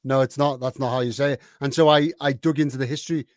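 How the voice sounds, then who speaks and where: A man's voice: mid-range voice, steady tone, fast, a little mellow, no room sound, studio quality. A man of forty hosting a podcast, chatting enthusiastically and laughing easily. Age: 40s. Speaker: podcast host. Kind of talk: casual podcast chat.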